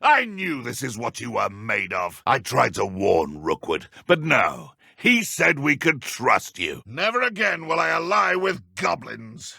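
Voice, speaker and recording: A man with a British accent voicing a ruthless, sneering dark wizard in a video game, menacing and mocking. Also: medium pitch, big pitch swings, measured pace, gravelly, few pauses, no echo, studio quality, exaggerated voice. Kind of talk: video game combat and idle voice lines.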